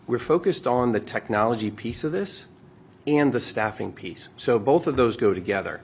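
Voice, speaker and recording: A man's voice: mid-range voice, lively intonation, normal speed. A man giving an official's remarks at a press conference. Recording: faint background noise and ambience.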